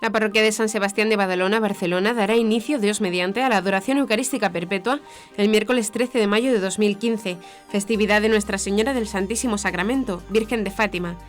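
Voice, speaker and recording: A woman's voice: medium pitch, fast. A woman reads scripted news in a formal manner. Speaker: news presenter. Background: background music.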